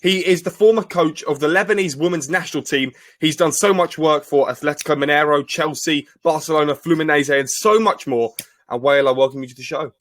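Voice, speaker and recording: A man's voice: fairly high pitch, a little fast, fairly bright tone. A man hosting a podcast, introducing his guest and asking questions in an upbeat way. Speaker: podcast host. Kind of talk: interview questions.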